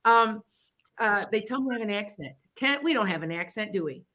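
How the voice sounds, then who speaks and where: Middle-aged woman, Chicago accent, bright tone; chatty psychic radio host who rambles through her advice. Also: mid-range voice, animated, brisk. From Wisconsin near the Illinois border. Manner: rambling and chatty, with frequent restarts and asides. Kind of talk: casual advice talk on internet radio.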